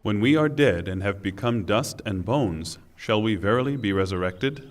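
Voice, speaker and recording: A man reading a scripture translation in a formal, solemn and deliberate way. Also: lowish voice, animated, long reverb tail. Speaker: reader of Quran translation.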